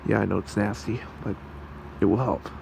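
Guy doing a kind fox creature for asmr, creaky voice, soothing and protective, bit of background hum. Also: fairly low pitch, steady tone. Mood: caring. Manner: soothing, reassuring, halting. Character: gentle, protective male fox creature caring for someone. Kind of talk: ASMR roleplay, soft comforting talk.

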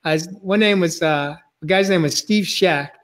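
A man's voice: high-pitched, normal speed. A man in his fifties from New England with a New England accent, hosting a casual video-call interview, rambling with many fillers over a webcam at home. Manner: rambling, filler-heavy, warm prompting. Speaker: podcast host. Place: home room, indoors.

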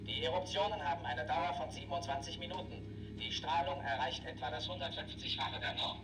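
An actor playing a space weather station announcer, reading a formal bulletin in a 1960s sci-fi series. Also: male voice, on the high side, steady tone, fairly quick, natural voice. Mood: urgent, official. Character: male solar weather station announcer giving urgent warning. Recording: distant, echoing, noisy loudspeaker relay.

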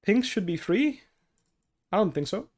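A man's voice: high-pitched, sing-song, unhurried. A young man whose first language is Dutch, coaching on a casual stream from a headset at his desk, explaining step by step with many okays. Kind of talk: casual stream talk, answering chat. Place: small room indoors at a desk.

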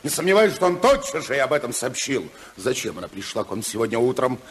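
An actor playing a domineering, indignant senator in a 1950s radio drama, declamatory, sarcastic and accusing. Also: male voice, mid-range voice, very animated, fast talker, natural voice. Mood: insistent, accusing. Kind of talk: 1950s Russian radio play acting.

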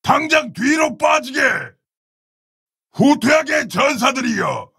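An actor playing a proud, honorable orc warrior shaman in a video game, speaking in a gravelly, husky, solemn and commanding way. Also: very high-pitched, animated, takes it slowly, pushed and bright, no echo, shouting, studio quality, heavily acted. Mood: urgent battle commands. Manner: solemn, commanding, archaic formal phrasing. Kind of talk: video game character battle lines.

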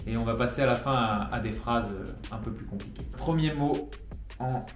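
A man's voice: medium pitch, normal speed. A cheerful young man in his twenties, from France, chatting to the camera in a bedroom. The room is echoey, and music plays behind him throughout. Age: 20s. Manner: upbeat, chatty, laughs often. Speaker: vlogger. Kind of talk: casual chat to camera. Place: bright bedroom, indoors.

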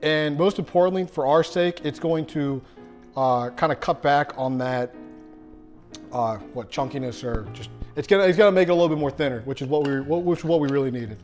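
A man's voice: a little high, brisk, faint music behind. A young man hosting a casual cooking demo in his home kitchen, chatty and self-deprecating, talking to viewers as he stirs. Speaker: cooking show host.

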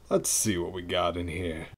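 A man's voice: deep voice, breathy edge, heavily acted. A man voicing a jovial, helpful talking wardrobe in an audio fairy-tale drama.

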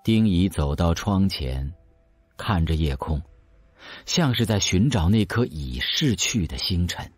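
A man's voice: sits low, very animated, a little slow, dry recording, faint music behind. A man narrating an audio drama in a scripted storytelling style.

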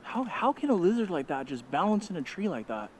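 A man vlogging outdoors, chatty and excited, talking straight to his viewers. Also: sits high, a little fast, slight background noise. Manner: excited, chatty, keeps addressing viewers as guys. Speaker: vlogger hunting iguanas. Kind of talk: casual vlog narration to viewers. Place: outdoors in a neighborhood field.

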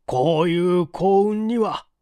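A woman voicing a composed, courteous male detective chief in an audiobook, explaining in a deliberate, emphatic and formal way. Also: on the low side, warm tone, no echo. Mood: grave, accusing. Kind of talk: storybook dialogue in audiobook reading.

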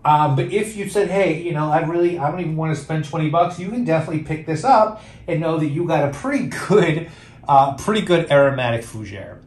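A man's voice: a little high, animated, fairly quick, a little mellow, echoey room. A man casually reviewing fragrances, chatty and hesitant, with many fillers and restarts.

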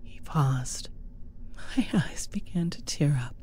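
A woman with a soft, husky voice narrating a scripted story in the first person. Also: low-pitched, lively intonation, slow, whispered. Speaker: audiobook narrator.

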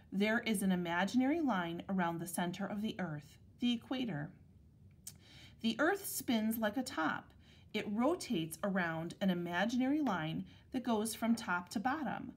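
Slow-reading woman teacher, children's picture book. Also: medium pitch. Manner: measured, deliberate phrasing. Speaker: elementary school teacher reading aloud. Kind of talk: read-aloud picture book narration for children.

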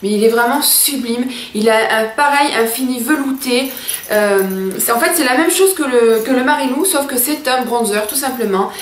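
A chatty, enthusiastic woman in her thirties reviews beauty products straight to camera. Her voice sits a little high. The small home room around her rings with echo, and a faint hiss lies under it. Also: moderate pace. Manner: enthusiastic, chatty, addresses viewers as girls. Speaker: beauty vlogger. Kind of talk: casual makeup review to camera.

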